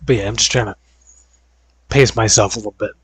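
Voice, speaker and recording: A man's voice: lowish voice, steady tone, fairly quick, fairly bright tone, husky, no room sound. Young man with a tiring voice. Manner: rambling, filler-heavy and hedging. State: voice going hoarse. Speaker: video creator. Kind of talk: casual gaming vlog chat.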